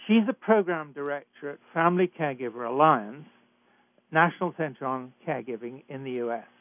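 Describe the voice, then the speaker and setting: A man's voice: on the high side, no room sound. British man hosting talk radio, phone-thin. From Britain. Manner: measured, emphatic, some hesitations. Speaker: radio show host. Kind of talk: hosted talk radio introduction. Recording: dry, phone-like narrow sound.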